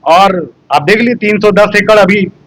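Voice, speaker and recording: A man's voice: high voice, moderate pace, a bit bright, no room sound, poor audio. A man speaking earnestly and rhetorically to reporters at a press interview. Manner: earnest, rhetorical, complaining about officials. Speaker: local activist interviewed by press.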